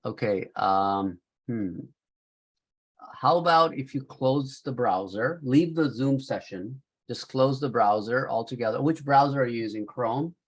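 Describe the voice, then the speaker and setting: A man's voice: medium pitch, animated, measured pace, husky, rough recording. A man with a Pakistani accent, a professor on a video call, patiently talking a student through tech trouble step by step. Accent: Pakistani English. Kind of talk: online class talk.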